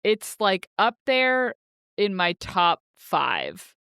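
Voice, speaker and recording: A woman's voice: medium pitch, huge pitch swings, drawn-out words, sharp tone, clean tone, no echo, studio quality. A woman co-hosting a podcast, chatting casually about books in a playful, jokey manner. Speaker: podcast co-host. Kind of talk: casual book discussion chat.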